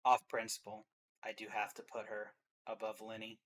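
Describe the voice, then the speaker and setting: A man's voice: lowish voice, completely flat delivery, normal speed, a bit bright. A man co-hosting a reaction video, chatting casually as he ranks game characters. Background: faint music.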